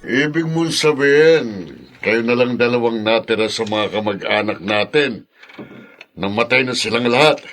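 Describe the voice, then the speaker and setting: An actor playing a wayward, hard-drinking older uncle in a radio drama. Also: male voice, medium pitch, normal speed, fairly bright tone, soft background music, close to an everyday voice. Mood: sober, somber.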